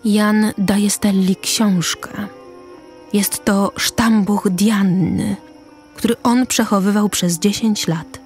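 A woman narrating a radio drama deliberately, pausing between short phrases. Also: medium pitch, steady tone, a little mellow, faint music behind.

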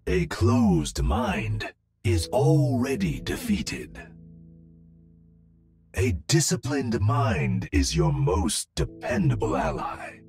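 An actor playing a serene, wise robot monk from a video game, speaking in measured, philosophical sayings. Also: male voice, fairly high pitch, huge pitch swings, vocal fry, a little mellow, soft background music, heavily acted. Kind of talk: video game hero voice lines.

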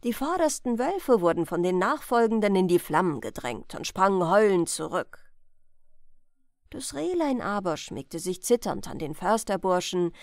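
A woman reading a fairy tale as a bedtime audiobook, in an expressive, measured storytelling manner. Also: mid-range voice, no echo, clean studio sound. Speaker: audiobook reader.

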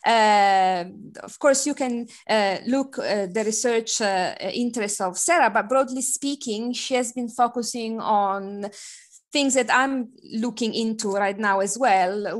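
A woman in her forties hosting a seminar over a video call with a headset, chatty and hesitant with many fillers. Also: medium pitch. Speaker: seminar host. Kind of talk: introducing a speaker on a video call. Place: home room by shuttered window.

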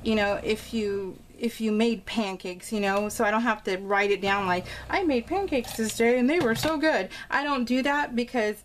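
A woman's voice: on the high side, moderate pace, few pauses, rough recording. Chatty, rambling woman over background noise. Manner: rambling, informal storytelling with exclamations. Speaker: craft vlogger showing a scrapbook layout. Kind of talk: casual, chatty real-time craft voice-over.

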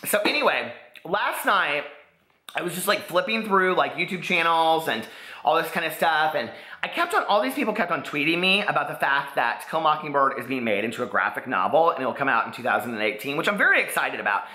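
A man in his forties with an American accent, chatting casually to camera in an excitable, rambling way with lots of "like". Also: on the high side, brisk. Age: 40s. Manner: excitable, rambling, peppers talk with "like". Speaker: book vlogger. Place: living room, indoors. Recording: echoey living room.

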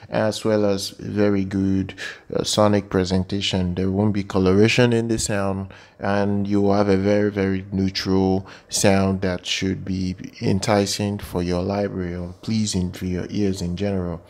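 A man giving an informal product news voice-over as a channel presenter, with halting, repetitive phrasing. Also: low-pitched, level tone, darkish tone.

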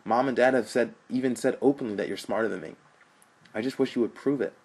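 A man's voice: on the low side, fast, darkish tone, slightly rough. A man voicing an earnest young college student who lectures his older brother. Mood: pleading.

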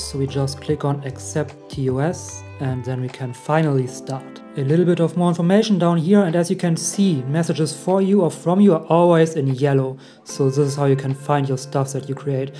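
A man in his thirties from Germany with a German accent, walking beginners through a software setup step by step in a friendly way. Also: fairly high pitch. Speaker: tech tutorial host. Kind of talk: screen-recorded software tutorial.

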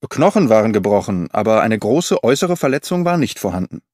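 Man as old railway official, audiobook, friendly, courteous, explanatory, animated pitch, dry sound. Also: fairly quick, dry recording. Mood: helpful, factual. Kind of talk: audiobook character reading.

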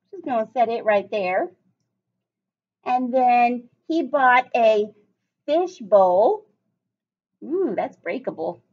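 High, sing-song woman teacher reading to children. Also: muffled recording. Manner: sing-song, exaggerated emphasis, addressing children directly. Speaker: elementary school teacher. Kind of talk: read-aloud story for young children. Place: small indoor classroom with cabinets and whiteboard.

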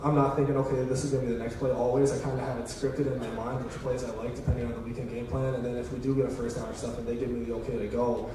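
A man's voice: mid-range voice, flat delivery, very fast, hardly any pauses, a crowd in the background. Young man chatting in a very echoey hall. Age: 20s. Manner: informal, loose, slangy phrasing. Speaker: college football player being interviewed. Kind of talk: casual interview answers. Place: indoor stage in an auditorium. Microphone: handheld microphone. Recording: echoey hall PA, distant, noisy.

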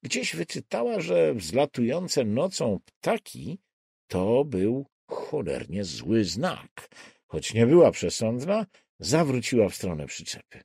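A man reading a thriller audiobook in a measured, deliberate and expressive dramatic style. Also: mid-range voice, creaky, breathy edge, dry recording, clean studio sound. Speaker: audiobook narrator. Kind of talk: scripted dramatic thriller narration.

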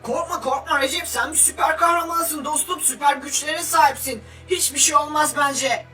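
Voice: high voice, steady tone, normal speed, bright, forceful tone. A performer voicing a friendly, coaxing young superhero sidekick in a comic game cartoon, reassuring and cajoling. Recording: distant voice with music behind.

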